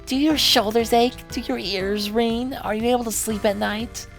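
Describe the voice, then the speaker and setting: A man's voice: extremely high pitch, fairly even intonation, normal speed, creaky, fairly bright tone, pitched above the performer's own voice, heavily acted. A man voicing a short, rude, bossy university girl in a visual novel read-aloud, snappy and scornful, with music behind. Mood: urgent, demanding.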